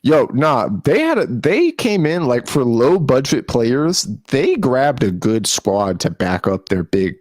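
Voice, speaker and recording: This man talks in loose, slangy banter with a lively, swinging delivery that rises and drops a lot. His voice comes through dry and close, with little room sound. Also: mid-range voice, measured pace, hardly any pauses, no room sound. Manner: animated, slangy, swaps teasing banter. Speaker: podcast co-host. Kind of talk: casual chat.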